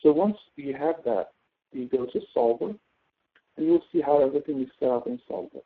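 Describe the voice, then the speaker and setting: A man's voice: mid-range voice, a little fast. A man tutoring step by step through a spreadsheet task, explaining and often checking that the listener follows. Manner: explanatory, often checks understanding with tag questions. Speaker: instructor, tutor. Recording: narrow tinny phone-like line.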